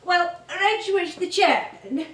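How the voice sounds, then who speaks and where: An actress playing a feisty, nostalgic veteran music hall comedienne in a stage play, with a theatrical, performative storytelling manner. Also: female voice, very high voice, takes it slowly, far from the mic, a little hiss or hum, exaggerated voice. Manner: animated, performative. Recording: roomy live theatre recording.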